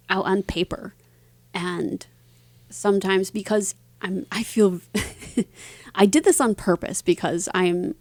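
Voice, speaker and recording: A woman being interviewed as an author, answering conversationally in a reflective way with halting phrasing. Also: fairly low pitch, a little mellow, no echo.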